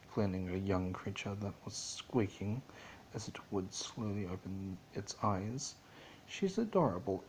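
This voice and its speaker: Male amateur story narrator, lowish voice, theatrical and a little halting, faintly noisy home recording. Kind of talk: dramatic storybook narration of fan fiction.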